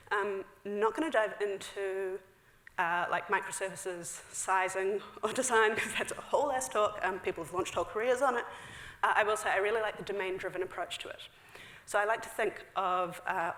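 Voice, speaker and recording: Woman, 30s, conference speaker, chatty with lots of ums, mid pitch, roomy hall sound. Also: a bit bright. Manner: chatty, frequent fillers, quick asides with laughs. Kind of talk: technical conference talk. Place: large dark theater stage. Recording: echoey hall.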